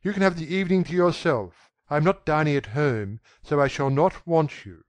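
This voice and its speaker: Man acting imperious young gentleman, higher-pitched. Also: no echo, close to an everyday voice. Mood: calm, commanding. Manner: brisk, authoritative, calm surface. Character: handsome young gentleman, imperious, nervy, suavely commanding. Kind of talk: dramatic audiobook reading.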